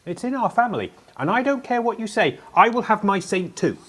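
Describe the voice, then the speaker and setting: A man's voice: high voice, sing-song, fairly quick, a bit bright, husky, heavily acted. A man acting a young uncrowned king in a radio play, whining, sarcastic and stubborn. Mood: defiant. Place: actor's home, recorded remotely.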